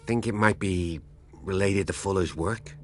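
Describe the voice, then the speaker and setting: A man's voice: deep voice, a little slow, slight background noise. An actor voicing a courteous, inquisitive man in scripted video game dialogue. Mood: polite, curious. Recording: faint music behind the voice.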